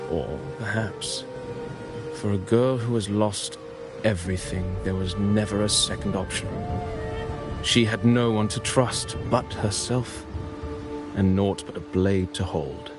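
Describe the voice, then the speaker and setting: An actor playing a composed, eloquent poet-storyteller in a video game, narrating an epic in a measured, musing, theatrical way. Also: male voice, fairly low pitch, music in the background. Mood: solemn, lyrical. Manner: measured, deliberate, philosophical and poetic delivery.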